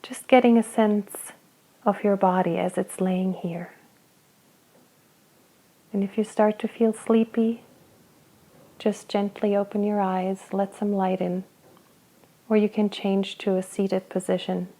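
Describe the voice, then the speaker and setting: A woman guiding a body scan meditation, speaking slowly and gently with long pauses between phrases. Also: mid-range voice, flat delivery, rough recording. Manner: slow, soft, gentle guidance with long pauses. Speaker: meditation guide.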